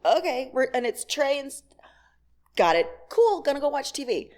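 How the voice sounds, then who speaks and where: A middle-aged woman with a slightly high-pitched voice speaks to a congregation as a guest. She tells personal stories in a candid, wry way. She often voices both sides of remembered conversations. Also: big pitch swings, normal speed, darkish tone. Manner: candid, wry storytelling with acted-out dialogue; laughs as she talks. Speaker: guest speaker at a church service. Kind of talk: personal testimony talk to a congregation.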